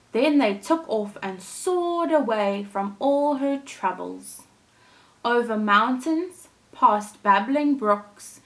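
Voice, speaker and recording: A woman who teaches young children, telling a story with expressive, deliberate phrasing. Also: medium pitch, poor audio. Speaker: primary school teacher.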